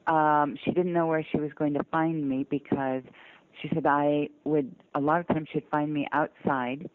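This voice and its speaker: An older woman from the American South tells personal stories in an earnest, deliberate way. Her voice is low for a woman and comes through a narrow, dry telephone line. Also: steady tone, no room sound. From southern United States (Georgia, North Carolina). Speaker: interview guest. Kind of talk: personal storytelling in conversation. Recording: muffled narrow phone-line sound.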